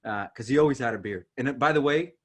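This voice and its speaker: A man from Ukraine hosting a podcast with casual, teasing banter and animated storytelling, in a husky voice. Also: mid-range voice, fast talker. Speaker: podcast host.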